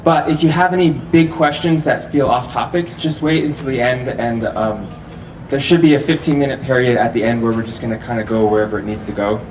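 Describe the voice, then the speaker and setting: Man, middle pitch, easygoing festival speaker who quizzes the crowd, far from the mic in a noisy, echoey room. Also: brisk, few pauses. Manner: relaxed, chatty, laughs often, asks the audience questions. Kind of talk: live public talk to an audience. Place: festival venue with a live audience. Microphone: handheld or stand microphone, often held far off. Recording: roomy echo, distant mic, audible hall noise.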